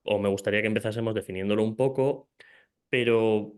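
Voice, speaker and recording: Lowish-voiced man hosting, hesitant questions. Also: fairly even intonation, brisk, clear, resonant tone. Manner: hesitant, searching for words with fillers. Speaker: interview host. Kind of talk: interview questions.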